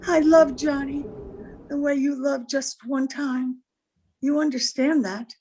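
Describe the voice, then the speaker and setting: A wistful, troubled wife played by a woman in a vintage radio detective drama. The voice is high, with an earnest, plaintive delivery. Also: fairly even intonation, slow pace, warm tone, poor audio. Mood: wistful, regretful. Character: wistful, anxious married woman with a secret. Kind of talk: old-time radio drama acting.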